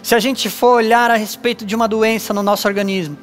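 A man in his thirties with a Brazilian Portuguese accent, a pastor giving an earnest, measured sermon with emphatic pauses on a church stage. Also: high voice, fairly even intonation, soft background music. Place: dark church stage with lights, indoors.

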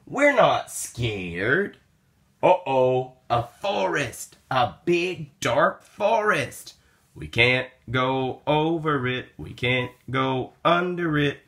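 A man reading a children's picture book aloud in an expressive, sing-song way with drawn-out words. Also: mid-range voice. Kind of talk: animated children's read-aloud.

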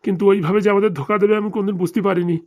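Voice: mid-range voice, steady tone, rapid-fire, a little mellow, lightly acted. A performer voicing an anxious, aggrieved middle-aged Bengali father in a comedy cartoon, lamenting and rambling in a rural dialect. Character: anxious, aggrieved father nearing retirement, fretting over lost money.

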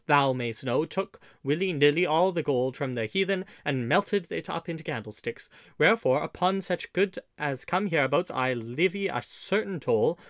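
A man's voice: fairly high pitch, a bit bright, dry recording, clean studio sound. A man voicing a bold, mock-courteous outlaw leader in a storybook, with florid, commanding, archaic speech. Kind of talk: audiobook storybook character.

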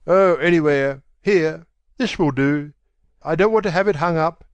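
An actor playing a wealthy young gentleman who is polite, offhand and a little weary, in an audiobook drama. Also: fairly high pitch, a little slow, clear, resonant tone, dry recording, lo-fi sound.

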